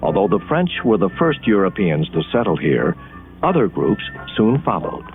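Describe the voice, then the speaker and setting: A man with an American accent narrating an educational documentary in measured, deliberate phrases with dramatic pauses. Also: fairly low pitch, huge pitch swings, vocal fry. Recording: muffled band-limited 1980s film soundtrack. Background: background music.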